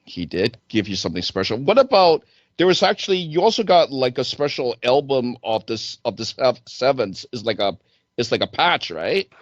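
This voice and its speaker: Animated male host, muffled lo-fi audio. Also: medium pitch, normal speed, no room sound. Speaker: talk show host. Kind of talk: casual conversational interviewing.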